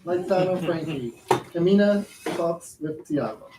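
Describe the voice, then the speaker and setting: Casting: male reader of stage directions, mid pitch, echoey and buried in heavy noise. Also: animated, unhurried. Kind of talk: reading stage directions aloud. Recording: echoey, distant, very noisy pickup.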